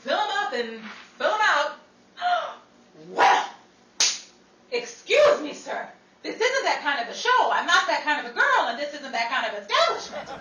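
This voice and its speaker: Woman as a brassy, high-voiced 1930s showgirl. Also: very animated, normal speed. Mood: mock indignant. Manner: theatrical, slangy, mock-indignant. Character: sassy, brassy, flamboyant Broadway showgirl, fast with wisecracks. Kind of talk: 1930s radio comedy acting. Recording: roomy, distant, noisy recording with crowd.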